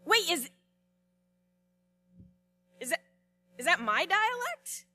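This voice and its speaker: A woman pastor delivering a sermon, telling the story expressively with repetitions and dramatic pauses. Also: very high-pitched, sing-song, pushed and bright.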